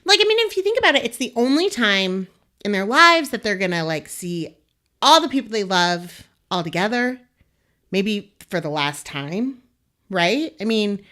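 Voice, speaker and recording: Woman guest, loud and bright, gushy talker, lots of "like". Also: mid-range voice, very animated, moderate pace. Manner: enthusiastic, gushing, filler-heavy "like". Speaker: wedding photographer, guest. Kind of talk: casual interview chat.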